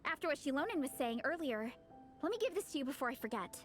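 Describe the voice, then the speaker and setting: A woman's voice: high-pitched, sing-song, fast talker. A woman playing a peppy, encouraging young woman athlete in a video game, upbeat, earnest and enthusiastic. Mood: friendly. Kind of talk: video game character acting. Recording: game music under the voice.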